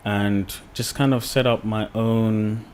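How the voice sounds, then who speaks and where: Young man, from the UK, podcast guest, lowish voice, rambling casual chat, faint background hiss. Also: slow pace. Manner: relaxed, rambling, many fillers like "like" and "you know".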